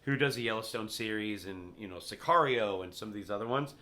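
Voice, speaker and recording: A man's voice: lowish voice, expressive, brisk, sharp tone. A man co-hosting a podcast, chatting casually about movies in a rambling, informal way full of filler words. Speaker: podcast co-host. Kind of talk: casual movie review chat.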